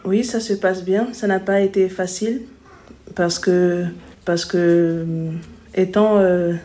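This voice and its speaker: Low, mellow-voiced young woman, halting delivery. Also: fairly even intonation. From Congo. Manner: hesitant, halting. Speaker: interviewee, sociology student. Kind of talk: personal interview account.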